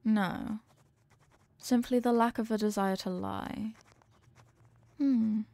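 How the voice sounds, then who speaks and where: A woman acts out an earnest young female scientist in an audio roleplay, fond of the test subject she addresses. Her voice sits a little high, and she words everything formally and precisely, like a clinician. The sound is very dry, with no room on it. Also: fairly even intonation, slow pace, gravelly, dark tone, dry recording. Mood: attentive, clinical. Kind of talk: scripted roleplay addressed to the listener.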